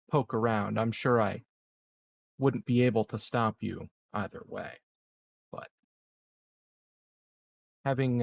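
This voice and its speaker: A man playing a polite, calculating and evasive corporate schemer in a tabletop game, gravelly and husky, with measured, formal, halting phrasing. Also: fairly low pitch, full character voice. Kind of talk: improvised tabletop roleplay.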